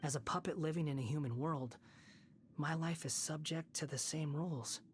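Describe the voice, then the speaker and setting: A woman playing a sorrowful young male puppet wanderer in a video game, solemn, measured and earnest. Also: sits low, a little hiss or hum, close to an everyday voice. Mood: solemn, resolute. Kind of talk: dramatic video game voice acting.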